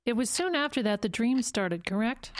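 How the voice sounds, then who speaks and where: An actress portrays a female psychologist in an audio drama. She questions in a measured, clinical way, her voice a bit high and composed. A light noise sits underneath. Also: lively intonation, natural voice. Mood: calm, probing.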